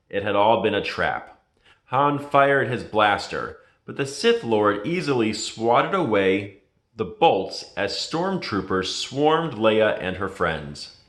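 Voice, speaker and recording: A man reading a children's story aloud as a scripted narration, in a dramatic, deliberate manner. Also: fairly low pitch, fairly bright tone. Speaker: storybook reader. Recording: echoey room.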